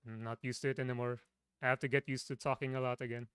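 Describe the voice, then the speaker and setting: A man from the Philippines, a streamer with a low voice, chatting casually from his home setup, rambling and restarting his sentences. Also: little pitch movement, quick pace, a bit bright, clean tone, no room sound, clean studio sound. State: voice tiring.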